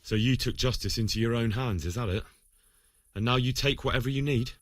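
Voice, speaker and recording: An actor playing an earnest, inquisitive and slightly cheeky young commoner in a medieval video game. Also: male voice, mid-range voice, fairly quick, dry recording, studio quality, lightly acted. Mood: probing. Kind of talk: video game dialogue acting.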